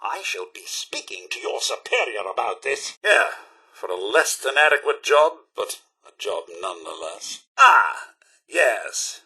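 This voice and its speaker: Posh british robot butler for a game, prim and fussy, bright sharp voice, bit raspy. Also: male voice, medium pitch, very animated, a little slow, heavily acted. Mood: stiff, supercilious. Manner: posh, proper, theatrical butler diction. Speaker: voice actor. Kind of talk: video game companion dialogue.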